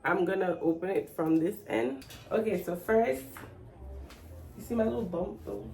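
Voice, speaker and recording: A woman's voice: mid-range voice, normal speed, warm tone. A woman in her thirties vlogging casually, sounding stuffy from the flu and laughing at herself as she chats. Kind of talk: casual travel vlog.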